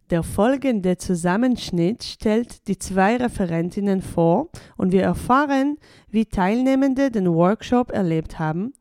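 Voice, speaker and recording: A woman presenter reading a scripted radio introduction in an even, read-aloud way. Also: medium pitch, no room sound, studio quality. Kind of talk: scripted narration.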